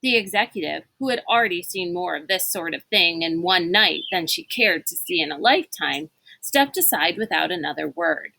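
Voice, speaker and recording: A woman reading a storybook aloud in an expressive, dramatic narrating style. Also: on the low side, moderate pace, creaky, poor audio. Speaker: reader of a read-aloud book.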